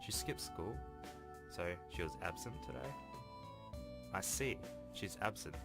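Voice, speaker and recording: A man's voice: low voice, expressive, a little slow, gravelly, full character voice. A man voicing an earnest, defensive teenage schoolboy who stammers and protests as he reads a visual novel. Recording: voice over game music.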